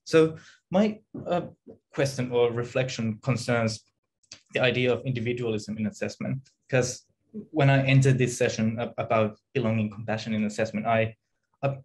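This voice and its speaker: A man's voice: medium pitch, steady tone, normal speed, a little mellow, rough recording. A man, thinking aloud and often rephrasing as he puts a question in an academic seminar. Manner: reflective, hesitant, rephrasing often. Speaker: academic, audience member asking a question. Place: indoor room on a video call. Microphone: computer or headset mic on video call.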